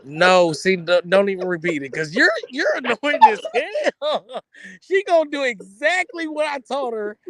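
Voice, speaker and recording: A man in his forties co-hosting a podcast, slangy, joking and animated in casual chat. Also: very high voice, a little slow, no room sound. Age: 40s. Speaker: podcast co-host.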